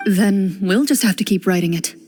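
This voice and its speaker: A woman voicing a calm, stern duelist. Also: medium pitch, slight background noise, natural voice. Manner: calm, measured, quietly commanding. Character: composed, stern female duelist, young adult. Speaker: game character, a duelist hunter. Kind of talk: video game cutscene voice acting.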